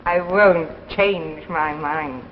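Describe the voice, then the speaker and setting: An actress playing a bitter, unhinged wife in a 1950s radio drama, taunting and melodramatic. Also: female voice, deep voice, drawn-out words, a little hiss or hum. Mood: scornful. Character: bitter, vengeful wife, unhinged by a drug, threatening. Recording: dull old radio recording, faint music.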